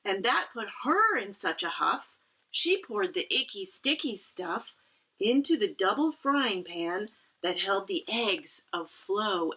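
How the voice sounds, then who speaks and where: A woman reads a children's story aloud with lively swings in pitch and dramatic emphasis. She takes her time over the lines, and her bright voice has a breathy, husky edge. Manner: animated, dramatic emphasis with playful asides. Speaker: teacher reading a picture book aloud.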